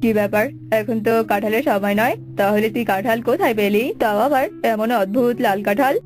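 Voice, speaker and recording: A woman voicing a calm, authoritative male tantric sage in a children's fairy-tale cartoon, commanding in manner. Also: a little high, moderate pace, pitched below the performer's own voice, lightly acted. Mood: puzzled questioning. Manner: commanding, addressing others as child.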